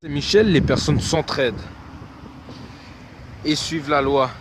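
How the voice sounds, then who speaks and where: A man presenting a neighborhood on location, speaking in a measured way. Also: fairly high pitch, loud music behind the voice. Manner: slow, measured. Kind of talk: presenting outdoors. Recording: loud wind noise outdoors.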